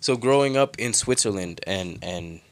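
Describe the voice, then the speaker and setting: A young man hosting a casual talk, speaking loosely, whose voice jumps up high when he quotes himself or others. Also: lively intonation, normal speed. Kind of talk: casual conversation.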